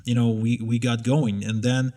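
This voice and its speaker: A man in his thirties tells stories in relaxed conversation. His pitch sits at a middling level. He is frank and self-deprecating, and he laughs at his own early blunders. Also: normal speed. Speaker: interviewee sharing business mistakes. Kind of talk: casual conversation.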